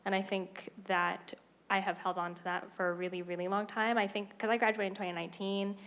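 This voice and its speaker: A woman's voice: mid-range voice, a little fast. A woman in her twenties, a Broadway cast member speaking on a panel talkback, chatty and full of fillers. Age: 20s. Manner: chatty, filler-heavy, animated.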